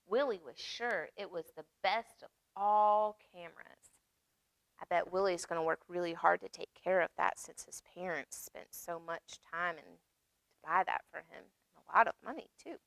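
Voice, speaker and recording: A woman reading aloud at storytime for young children, expressive, pausing to explain and ask questions. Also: fairly low pitch, no room sound, rough recording. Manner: expressive, asks listeners questions and explains words. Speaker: children's library storytime reader.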